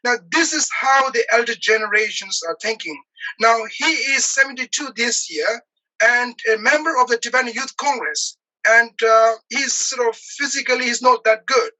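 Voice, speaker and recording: Man, 40s, from Nepal, interview guest, halting delivery, tense bright tone, video call audio. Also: very high voice, poor audio. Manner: hesitant, halting, many pauses and fillers. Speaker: guest, activist. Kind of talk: earnest personal account in an interview.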